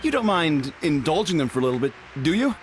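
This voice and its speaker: A man's voice: a little high, big pitch swings, fast, soft background music. A man playing a young event guide in a video game, friendly, helpful and deferential. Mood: eager.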